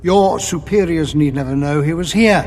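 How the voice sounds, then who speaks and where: Casting: male actor as imperious alien sorcerer, higher-pitched, cold, contemptuous, formal, long reverb, music underneath. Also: darkish tone, lightly acted. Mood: quietly menacing. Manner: haughty, measured, formal speech. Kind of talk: audio drama acting.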